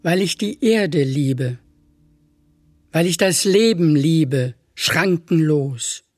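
A man reciting poetry as a reader in a deliberate, declamatory way. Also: a little high, animated, dry recording. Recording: music playing behind the voice. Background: music.

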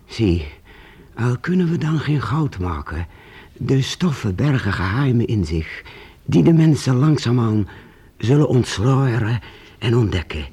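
Husky, earnest old master painter. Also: male voice, medium pitch, very animated, mellow, exaggerated voice. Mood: passionate, dreamy. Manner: halting, repeats words, archaic formal address. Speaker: radio play actor. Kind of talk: Dutch radio drama acting.

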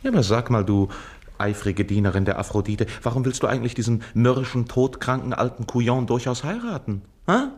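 Male actor for a crafty, wheedling radio-play servant, very animated, with stammering false deference. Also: mid-range voice, a little fast, close to an everyday voice. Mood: playful, sardonic. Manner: wheedling, stammering with calculated hesitations. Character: sly, fawning, mocking male servant and schemer. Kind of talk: theatrical radio play acting.

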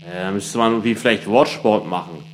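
A man's voice: lowish voice, a little fast. A man chatting casually in a radio play, very colloquial, tagging questions onto his sentences. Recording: loud music behind the voice. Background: loud music.

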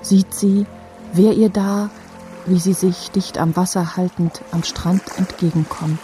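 A woman narrating a radio drama in a measured, literary, repetitive manner. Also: on the low side, fairly even intonation, mellow, close to an everyday voice. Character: detached female storyteller linking scenes. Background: music.

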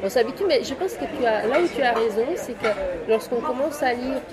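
Female interview guest, Romanian accent, fairly high voice, casual and conversational, heavy crowd din. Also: a little fast. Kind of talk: casual chat. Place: busy café or bar. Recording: busy public place with loud crowd chatter.

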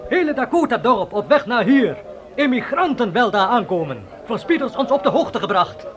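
An actor playing a young Dakota messenger in a radio drama, speaking urgently in halting, clipped broken phrases. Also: medium pitch, big pitch swings, crowd noise, lightly acted. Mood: urgent, proud.

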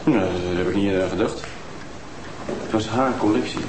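A man plays a querulous widower who meets every question with defensive excuses and talks about money. His voice sits lowish, in a roomy, noisy TV soundtrack. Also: normal speed, natural voice. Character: evasive, money-minded male widower.

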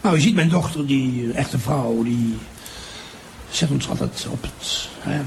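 A man's voice: medium pitch, vocal fry, darkish tone. An older man playing a boastful, roguish crime boss and charming father in a radio play, drawing out and savouring his words. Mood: contented, relishing. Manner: drawn-out, self-satisfied, savouring his words. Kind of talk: improvised radio play dialogue. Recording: noisy room ambience.

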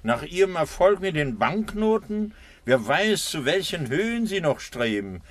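Silky, menacing villain doctor. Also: male voice, a little high, very animated, measured pace. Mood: silkily persuasive. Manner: mock-friendly, silky, sardonic. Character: villainous nerve doctor, smooth, mocking, coldly menacing. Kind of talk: 1960s German radio thriller acting.

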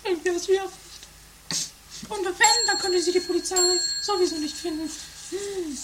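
A woman's voice: very high voice, moderate pace. A woman playing a fussy, anxious mother in an improvised amateur radio comedy, theatrical and a little hesitant. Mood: worried. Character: fussy, anxious middle-class mother and wife. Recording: noisy 1980s home-made radio play recording.

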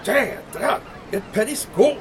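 Audio comedy role: male actor as excitable, blunt photographer, very animated intonation, noisy outdoor ambience. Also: very high-pitched. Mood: excited, indignant. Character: brash, excitable, grumbling male press photographer. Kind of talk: comic audio drama acting.

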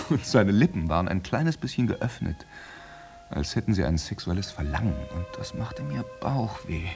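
An actor playing a jealous, tormented soldier in a radio play monologue, theatrical and shifting between murmurs and outbursts. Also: male voice, deep voice, creaky, a little mellow, exaggerated voice. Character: jealous, tormented soldier brooding over his unfaithful lover.

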